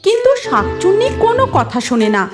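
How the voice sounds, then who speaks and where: A woman's voice: very high voice, very animated, fast. A woman narrating a scripted cartoon story. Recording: music behind the voice.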